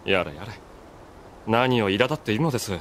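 A man's voice: lowish voice, animated, a little fast, very noisy, natural voice. A man acting a composed, sardonic military officer in an anime audio drama, polite and dry. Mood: weary, dry. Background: background music.

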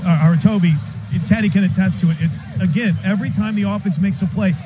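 Male football sideline reporter, high-pitched, live on radio with clear stadium noise around him. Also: fast. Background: loud stadium ambience.

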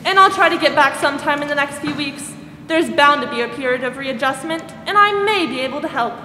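A woman's voice: sits high, a little fast, bright, forceful tone. A woman acting a polite, concerned social worker in a 1940s-style radio drama. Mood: reassuring. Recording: roomy stage hall, faint noise.

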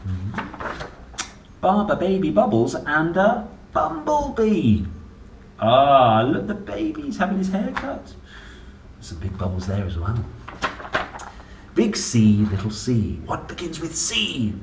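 A young man reads aloud to small children with a playful, sing-song delivery that swings very widely in pitch. He goes slowly, as if chatting directly to his listeners. The sound is roomy and a little distant from the mic. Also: wildly swooping pitch. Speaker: children's book reader and presenter. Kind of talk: animated read-aloud of a children's alphabet book. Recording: roomy, distant mic with faint background noise.

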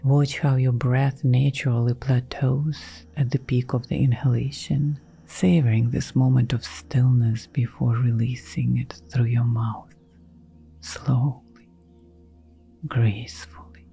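A woman guiding a relaxation meditation in a soft, breathy half-whisper, giving gentle, soothing instructions with long pauses. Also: rumbling low, little pitch movement. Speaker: meditation guide. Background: background music.

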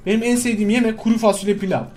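A man with a high-pitched voice chats through gameplay with a friend. He is excitable and slangy, often exclaiming and pleading, with light music underneath. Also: rapid-fire. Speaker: gaming video host. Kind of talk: casual gameplay banter with a friend.

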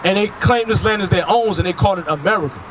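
A man's voice: high voice, fast, fairly bright tone, rough recording. A man in his thirties preaching outdoors by a street into a handheld mic, emphatic and full of rhetorical questions. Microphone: handheld microphone. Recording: outdoor traffic noise. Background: passing cars.

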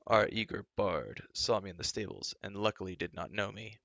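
A man doing a calm, wise hidden hero. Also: fairly low pitch, moderate pace, vocal fry, no echo, highs cut off. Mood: secretive.

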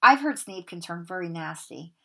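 A woman's voice: fairly low pitch, expressive, moderate pace, creaky, a bit bright, exaggerated voice. Woman doing Ron, an eager schoolboy. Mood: muttering. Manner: eager, cheerful. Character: cheerful freckled schoolboy friend.

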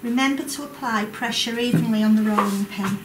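Calm woman teaching cooking in echoey kitchen. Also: on the high side, brisk, recorded from a distance. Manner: calm, clear, instructive. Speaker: cooking instructor. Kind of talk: step-by-step cooking demonstration. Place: large college kitchen classroom, indoors. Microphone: distant camera mic. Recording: echoey room, voice from afar. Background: kitchen clatter and room noise.

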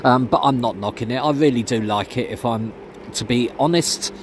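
A man's voice: medium pitch, normal speed. A man giving a casual walking commentary as a vlogger, talking with lots of ums while he films on his phone around a big football stadium. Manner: chatty with many ums. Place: outdoors and inside a large football stadium. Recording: noisy outdoor stadium recording.

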